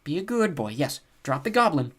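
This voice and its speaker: A man's voice: on the high side, lively intonation, fast talker, voice pushed up, natural voice. A man voicing an earnest young boy in a storybook, anxious but determined, with an eager, pleading manner. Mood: coaxing.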